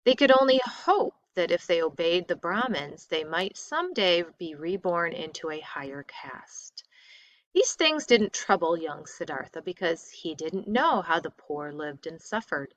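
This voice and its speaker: Woman reading aloud with very lively intonation. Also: fairly low pitch, a little slow, no echo, highs cut off. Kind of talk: expressive read-aloud of a textbook story.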